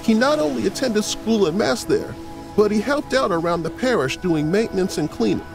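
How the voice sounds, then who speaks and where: A man's voice: sits high, animated, normal speed, gravelly, music in the background. An actor playing a friendly man who tells history to children in a folksy, engaging way in an audio drama. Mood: engaged storytelling. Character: friendly male teacher telling children saintly history.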